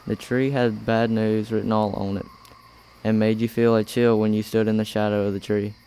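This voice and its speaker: A man's voice: fairly low pitch, little pitch movement, moderate pace, dark tone, a little hiss or hum. A young man reading scripted story narration for an amateur radio play. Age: teenager or young adult.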